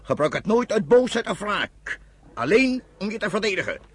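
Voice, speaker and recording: A man's voice: high voice, very animated, normal speed, fairly bright tone, breathy edge, no echo, heavily acted. An actor playing a stern, proud farmer father in a Dutch radio drama, speaking in an emphatic, commanding way. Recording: noisy old radio play recording.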